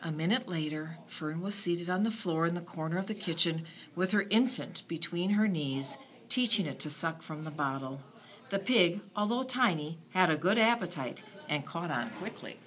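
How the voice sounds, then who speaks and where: Animated, bright woman reading a bedtime story. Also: lowish voice, normal speed. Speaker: children's storybook reader. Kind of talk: expressive bedtime story narration.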